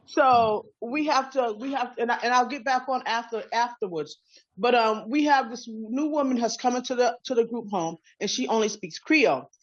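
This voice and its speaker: Woman, group home worker, slightly high, conversational on a lo-fi call. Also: moderate pace. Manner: conversational, asks questions, repeats words. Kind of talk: online group meeting.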